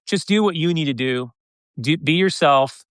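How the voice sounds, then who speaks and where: An American man in his fifties talking casually as an interview guest, often halting and restarting his phrases. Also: fairly high pitch, lively intonation, fairly bright tone, smooth, dry recording, studio quality. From United States (Detroit). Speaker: podcast guest, content creator.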